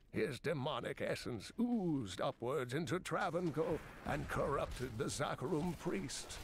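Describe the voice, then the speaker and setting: A solemn scholar telling dark legends for a fantasy video game. The pitch sits mid-range for a man and moves in broad, animated swings as the story is told gravely. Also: a little hiss or hum. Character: grave male scholar chronicling ancient demonic lore. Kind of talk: scripted game lore narration.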